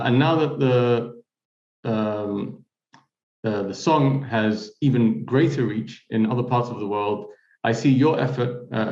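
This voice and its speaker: A man's voice: medium pitch, darkish tone. Roomy, muffled voice of a courteous male host. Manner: measured and courteous, with frequent "uh" pauses. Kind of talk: formal interview hosting. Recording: roomy, dull lo-fi audio.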